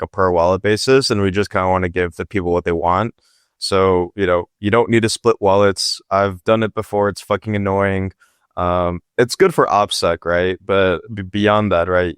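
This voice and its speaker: Low, smooth-voiced man talking casually. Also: no room sound, clean studio sound. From Pakistan. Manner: casual, filler-heavy, lots of "like" and "right?". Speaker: guest, startup founder. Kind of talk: casual podcast interview.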